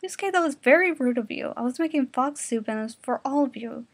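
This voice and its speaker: Lady doing a miffed evil cook woman, prim n scolding, high voice, fanfic reading. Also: lively intonation, a little fast, no echo. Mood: indignant. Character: scolding, indignant woman who cooks people.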